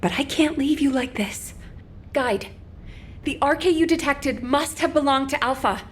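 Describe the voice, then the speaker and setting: A woman's voice: sits high, unhurried. A woman voicing an earnest, devoted young officer who speaks with emotion. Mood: pleading. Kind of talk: game cutscene dialogue.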